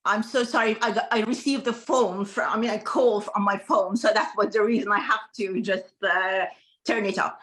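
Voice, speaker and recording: A woman doctor whose first language is Farsi, explaining in halting, self-correcting English on an online panel over a video call. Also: medium pitch, fairly even intonation, a bit bright. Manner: halting non-native English, frequent restarts.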